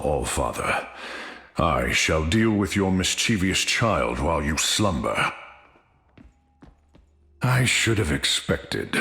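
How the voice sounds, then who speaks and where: An actor playing a noble, solemn god prince in a video game, with grave, stately, old-fashioned formal speech. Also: male voice, sits low, a bit bright, full character voice. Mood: resolute, solemn. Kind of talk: epic video game voice acting. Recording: reverberant hall-like game audio.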